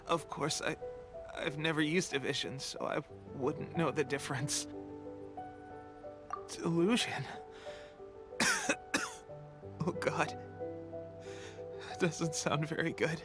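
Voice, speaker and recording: A worn-out young soldier drags through his words slowly, pausing and restarting as he coughs. His voice sits a little high for a man. Quiet music plays underneath. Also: fairly even intonation. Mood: weary. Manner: halting, hesitant, with trailing restarts. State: weak, coughing and tired. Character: young male resistance soldier, loyal, fading. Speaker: game character. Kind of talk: game cutscene voice acting.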